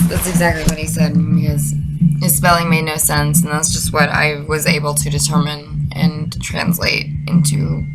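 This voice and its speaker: Casting: woman, 20s, fry-laden voice, sweary exasperated comic rambler, loud music underneath. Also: low-pitched, rough recording. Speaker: video creator. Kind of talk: casual comedic commentary with read-out messages.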